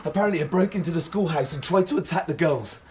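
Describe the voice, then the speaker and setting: A man's voice: fairly high pitch, quick pace, crowd noise, close to an everyday voice. A man playing an earnest, dutiful young cottager in an audio drama. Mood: alarmed, urgent.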